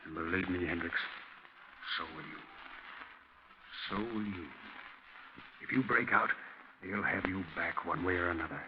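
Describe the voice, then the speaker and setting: A reformed convict of the old gangster type, resigned and reflective. His voice sits very deep and he speaks in plain, tough slang. It is 1940s radio drama, muffled and under heavy noise. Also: unhurried, pauses often. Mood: resigned, earnest.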